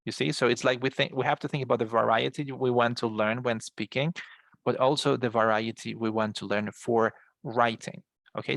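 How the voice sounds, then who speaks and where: A low-voiced man with a Colombian accent teaches an English class in a conversational, explanatory way. His voice has a noticeable creak, and the sound is dry and close. Spanish is his native language. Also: a bit bright, no room sound. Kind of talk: explanatory online class lecture.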